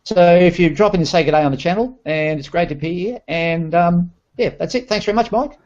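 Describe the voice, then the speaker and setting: A man's voice: high voice, fairly quick, a little mellow. A man with a Scottish accent introducing himself on a video call, chatty and self-deprecating. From Scotland. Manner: chatty, self-deprecating, laughing between phrases. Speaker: guest modeler. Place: room by a window. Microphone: webcam on a video call. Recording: muffled video-call audio.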